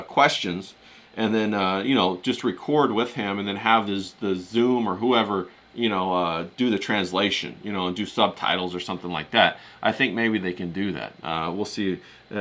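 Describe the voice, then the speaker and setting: A man's voice: fairly low pitch, sharp tone. A man hosting a livestream, chatting casually in a folksy, colloquial, rambling way and often repeating himself.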